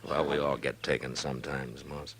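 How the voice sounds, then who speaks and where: Very deep-voiced weary frontier marshal. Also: male voice, little pitch movement, normal speed, a bit bright, slightly rough, full character voice. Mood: thoughtful. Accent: American. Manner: laconic, dry, authoritative. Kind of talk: 1950s radio drama acting. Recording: faintly noisy old radio recording.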